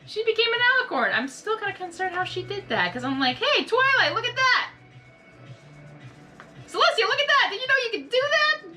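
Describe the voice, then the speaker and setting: A young woman hosting a reaction video, talking in a rambling, earnest, soft-spoken way with many fillers and restarts. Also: extremely high pitch, big pitch swings, brisk, soft background music. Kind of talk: casual reflective monologue.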